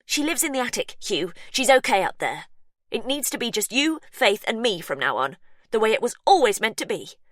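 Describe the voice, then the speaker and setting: A woman reading an audiobook, voicing a frantic, possessive young woman who is mentally unstable, with shrill, urgent delivery. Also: big pitch swings, unhurried, hardly any pauses, husky, dry recording, studio quality, heavily acted. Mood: insistent. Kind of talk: audiobook character voice.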